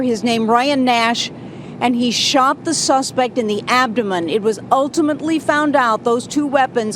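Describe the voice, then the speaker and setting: A woman reports live for TV news in a higher-pitched voice. She is outdoors, with clear traffic rumble and street noise behind her.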